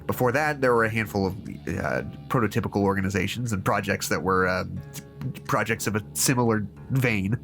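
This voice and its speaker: A man's voice: lowish voice, creaky, music in the background. An actor playing a troubled, disillusioned male therapist in an audio drama, stammering and hesitating as he reasons aloud. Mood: hesitant, resigned. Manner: halting, hesitant, stammering repeats.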